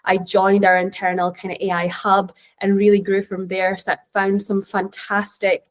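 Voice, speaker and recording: A woman's voice: mid-range voice, monotone, moderate pace. A Scottish woman in her twenties, a panelist answering a question on an online call with earnest, reflective storytelling. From Scotland.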